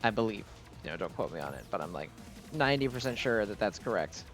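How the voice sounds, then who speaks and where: A man's voice: fairly low pitch, sing-song, fast talker, a bit bright, faint music behind. Rambling man doing casual gameplay commentary. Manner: rambling, self-interrupting, casually sweary. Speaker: gaming video host.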